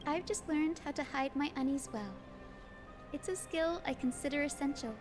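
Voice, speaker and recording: A woman playing a gentle but resolute young healer heroine in a video game, speaking earnestly. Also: sits high, normal speed, close to an everyday voice. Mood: reflective, earnest. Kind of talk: scripted game dialogue. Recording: music playing behind the voice.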